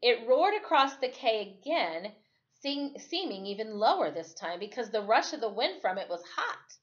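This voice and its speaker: A woman reads a children's novel aloud as a storyteller, with expressive, dramatic and deliberate phrasing. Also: on the high side. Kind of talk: expressive first-person story read-aloud. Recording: dull lo-fi recording.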